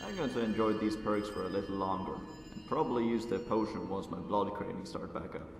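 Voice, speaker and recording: A man's voice: on the low side, brisk, gravelly. A man playing a wry, friendly vampire adventuring companion in a fantasy game, chatty and conversational. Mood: grateful, relaxed. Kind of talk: fantasy game character acting. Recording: echoey, distant, music behind voice.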